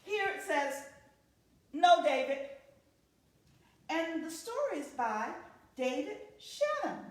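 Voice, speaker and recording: A woman hosting story time for young children, speaking to them directly in an animated, sing-song way. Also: very high-pitched, measured pace, distant mic. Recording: very echoey, reverberant room sound.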